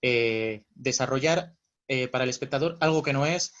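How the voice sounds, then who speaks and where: Interviewer, dull lo-fi sound. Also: male voice, medium pitch, normal speed, fairly bright tone. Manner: hesitant, many fillers. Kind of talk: interview questions.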